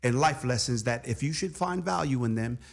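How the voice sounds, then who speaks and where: A man's voice: medium pitch, expressive, fairly quick, vocal fry. A middle-aged man hosting a radio interview show from a studio, reading an emphatic scripted introduction and asking questions. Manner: upbeat, emphatic announcer delivery. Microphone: studio microphone with headphones.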